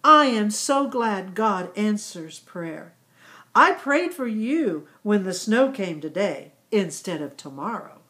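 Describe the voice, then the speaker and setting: A woman's voice: medium pitch, very animated, takes it slowly, fairly bright tone. A woman voicing a grateful, faithful mother yak who speaks earnestly and thankfully in a children's story.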